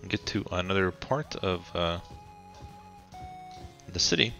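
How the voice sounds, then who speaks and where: A man, raspy from a cold, giving relaxed, halting commentary over a city-building game and often pausing with ums. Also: low-pitched, faint music behind. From Colorado. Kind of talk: casual gameplay commentary.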